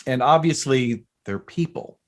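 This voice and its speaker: A man with a medium-pitched voice hosts a casual podcast chat. His delivery is conversational and informal, the way one talks with a guest about shared interests. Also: a little slow. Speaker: podcast host. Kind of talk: casual pop culture chat.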